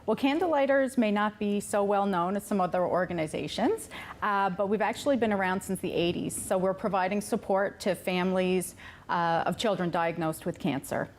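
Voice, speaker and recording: A woman's voice: mid-range voice, moderate pace. A woman speaking as a charity representative, explaining things as a guest in a TV studio interview. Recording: faint background noise.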